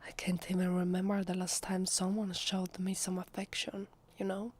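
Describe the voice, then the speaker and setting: A woman with an Italian accent playing a shy, lonely vampire posing as a human in an ASMR roleplay, speaking softly and hesitantly in a breathy half-whisper. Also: lowish voice, monotone, normal speed, lightly acted. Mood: shy, wistful. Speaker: voice actress. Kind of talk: ASMR roleplay, soft intimate speech to the listener.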